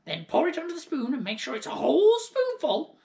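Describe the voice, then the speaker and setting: A man's voice: very high-pitched, wildly swooping pitch, fairly quick, creaky, a little mellow, pitched above the performer's own voice, exaggerated voice. A man voicing a bossy, crabby old grandmother in a storybook reading, screeching and scolding. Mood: cross. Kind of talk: storybook read-aloud character voice.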